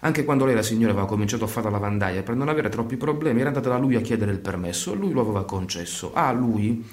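An actor playing a storyteller, narrating a scripted radio drama in flowing, list-like phrases. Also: male voice, lowish voice, animated, racing, lightly acted. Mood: measured storytelling. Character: male storyteller narrating life in a war-torn town.

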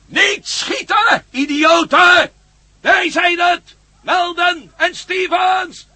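An actor playing a gruff, bold adventurer in a Dutch radio play, wry and commanding. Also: male voice, very high voice, drawn-out words, pushed and bright, raised voice, heavily acted. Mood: alarmed shouting. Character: gruff, bold male adventurer in a sci-fi mystery.

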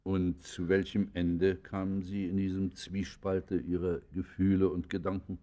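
An actor playing a stern, moralizing magistrate who questions a criminal in a grave, formal, admonishing manner for a radio play. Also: male voice, deep voice, little pitch movement, measured pace, dark tone. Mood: grave questioning. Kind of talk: German radio play acting.

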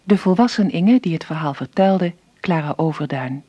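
A woman's voice: fairly low pitch, lively intonation, moderate pace, mellow, breathy edge, no room sound. A woman announcer reading scripted closing credits for a radio drama.

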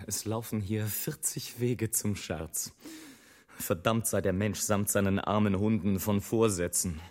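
Actor as low-voiced, sardonic, irascible airship adventurer. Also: male voice, darkish tone, lightly acted. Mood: exasperated, bitter. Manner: sardonic, declamatory, bitterly ironic. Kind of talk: theatrical German radio play monologue.

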